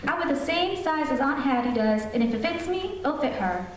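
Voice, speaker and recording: A woman's voice: high-pitched, animated, a little fast. A woman playing a fussy, kindly middle-aged housewife in old-time radio comedy style. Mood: fussy, chatty. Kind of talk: 1940s radio comedy acting. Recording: very echoey, distant, faint noise.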